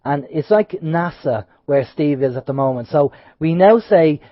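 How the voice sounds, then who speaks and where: A man's voice: a little high, normal speed, no echo. Nasal-sounding male radio co-host with a sore throat. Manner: chatty, rambling, lively openings then trailing off. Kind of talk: casual radio chat. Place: radio studio. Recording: dull lo-fi sound, dry studio.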